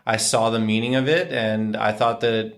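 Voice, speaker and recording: Low-voiced joking man in his twenties. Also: normal speed, vocal fry. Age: 20s. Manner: relaxed, joking, matter-of-fact. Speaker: co-host, hockey player. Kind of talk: casual couple Q&A chat. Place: small bright room indoors. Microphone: desk microphone.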